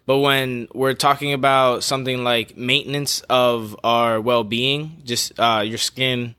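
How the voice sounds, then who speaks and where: A man's voice: mid-range voice, fairly even intonation, measured pace, sharp tone, clear, resonant tone, dry recording. A man co-hosting a casual podcast conversation, reflective and hesitant as he works through his points. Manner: reflective, hesitant with fillers and restarts. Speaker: podcast co-host.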